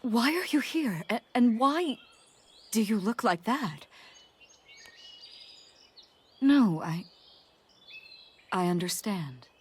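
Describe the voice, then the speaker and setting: Husky, wistful fantasy character. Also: female voice, on the high side, lively intonation, slow pace, exaggerated voice. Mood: startled. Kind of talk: video game English dub acting.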